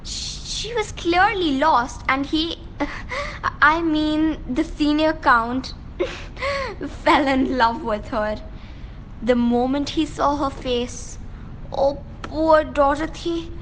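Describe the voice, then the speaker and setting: A woman's voice: high voice, animated, takes it slowly, fairly bright tone, a little hiss or hum, heavily acted, poor audio. A woman playing a gracious, gossipy countess of a spooky household in an amateur radio play. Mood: wistful, amused.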